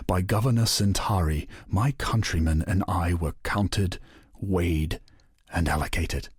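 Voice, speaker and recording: A grave, unbowed rebel chief for a video game. His voice is very deep and extremely husky. He speaks in measured, solemn oratory, recorded dry with no room sound. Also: dry recording, exaggerated voice. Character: grave, proud, defiant rebel leader of an enslaved people. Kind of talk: scripted video game voice acting.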